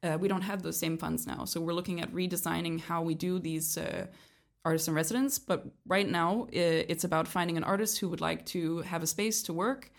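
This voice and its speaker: Young woman guest raised in Canada, lowish gravelly voice, hesitant casual chat. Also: steady tone, fairly quick. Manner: hesitant, many ums and restarts. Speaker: guest, project leader.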